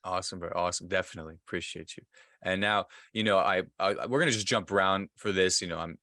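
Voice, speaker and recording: A man hosting a casual podcast interview, talking haltingly, repeating words and calling his guest bro. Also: deep voice, sharp tone, no echo, studio quality. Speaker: podcast host.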